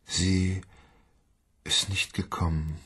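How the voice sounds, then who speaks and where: A man's voice: low-pitched, flat delivery, fairly bright tone, husky, full character voice. A timid, strange phone-obsessed man. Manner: hesitant, halting. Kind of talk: radio play acting.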